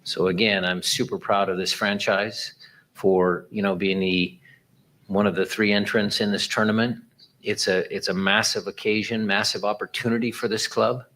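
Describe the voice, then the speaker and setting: A man speaking as head coach at a press conference, in a measured, even delivery. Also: fairly low pitch.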